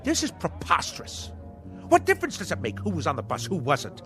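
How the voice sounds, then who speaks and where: A man's voice: fairly high pitch, wildly swooping pitch, brisk, bright, forceful tone, faint music behind, exaggerated voice. An actor playing a pompous, argumentative, indignant big-city businessman in an audio drama.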